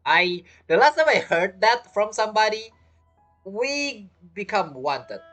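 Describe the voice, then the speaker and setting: A woman's voice: medium pitch, very animated, slow, fairly bright tone, faint music behind. A woman giving casual, chatty gameplay commentary as a streamer, with teasing asides. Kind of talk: casual game playthrough commentary.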